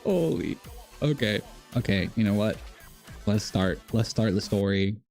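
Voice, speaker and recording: A man's voice: on the low side, expressive, a little slow, darkish tone, faint music behind. A man live streaming a video game, chatting casually with viewers and thinking aloud in a rambling, repetitive way.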